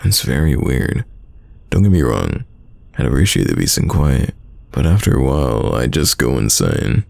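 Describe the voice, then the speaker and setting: Guy playing young dude hiding a crush, roleplay audio, super gravelly, pitch moves around a lot, teasing n casual. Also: very animated, a little mellow, no room sound, heavily acted. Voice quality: deep, gravelly. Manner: casual, conversational, halting, teasing. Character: young man, best friend's sibling, secretly smitten, teasing and guarded. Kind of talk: roleplay audio drama, intimate casual chat.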